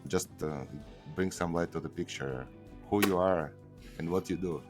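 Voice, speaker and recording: A man's voice: low voice, normal speed, faint music behind. A man with a Russian accent hosting a podcast, introducing his guest hesitantly with many fillers. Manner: hesitant, many fillers, non-native phrasing. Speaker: podcast host.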